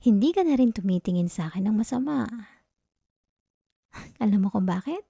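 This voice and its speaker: A woman playing a teasing, possessive young yandere girlfriend in an intimate ASMR roleplay, soft and breathy with a Filipino accent, coaxing and often slipping into whispers. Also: medium pitch, no room sound, clean studio sound. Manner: slow, coaxing, giggly teasing. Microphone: close, dry ASMR microphone.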